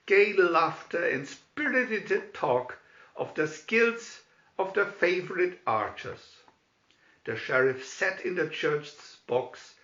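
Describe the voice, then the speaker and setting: A man narrating a storybook in a dramatic, theatrical and deliberate way. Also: on the high side, a bit bright, roomy sound, muffled recording.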